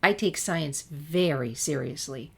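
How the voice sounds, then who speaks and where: A woman's voice: low-pitched, slow, vocal fry, a bit bright, breathy edge, exaggerated voice. A woman voicing an earnest, bookish, science-minded teenage girl with an eager manner, in a story reading.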